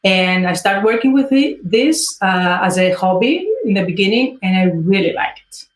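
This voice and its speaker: A woman from Greece with a Greek accent, speaking English as a second language in an interview, pausing often with fillers. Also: medium pitch, expressive, echoey room, a little hiss or hum. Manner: hesitant, many fillers. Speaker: guest artist. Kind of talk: interview answers.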